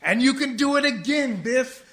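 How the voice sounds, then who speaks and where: A man's voice: very high voice, lively intonation, moderate pace, a bit bright, full character voice. An actor playing an eager, blustering jazz musician father who talks in hip slang in a comic radio play. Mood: excited, boastful. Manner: hip jazz slang, stammers when flustered.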